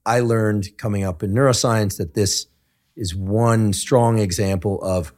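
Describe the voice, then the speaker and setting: Guy hosting a podcast, lowish voice, easygoing, thinks out loud. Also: steady tone, measured pace. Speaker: podcast host. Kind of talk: casual conversation.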